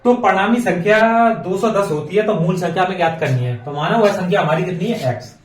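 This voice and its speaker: A man with a slightly high voice gives an informal maths lesson for exam students. He works step by step, repeating himself and checking in often. The recording is roomy and echoey, with him some way from the microphone. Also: brisk. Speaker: maths teacher for exam preparation. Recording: echoey room, distant microphone.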